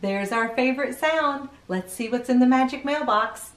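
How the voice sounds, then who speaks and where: A woman's voice: high voice, normal speed, roomy sound, recorded from a distance, very noisy. A middle-aged woman hosting a children's read-aloud show, playful and exclamatory, often putting questions to the young viewers.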